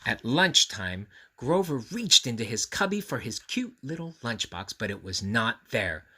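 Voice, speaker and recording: A man reading a storybook aloud to children, expressive and confiding, pausing to put questions to the listener. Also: mid-range voice, breathy edge. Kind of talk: animated read-aloud with friendly asides to children.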